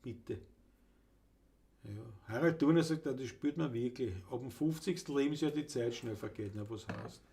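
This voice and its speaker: A man's voice: mid-range voice, moderate pace, vocal fry, mellow. A man with a Viennese accent, a musician hosting a live show, chatting casually and reading out viewer comments. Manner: easygoing, reads out chat comments. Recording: live music studio with guitar.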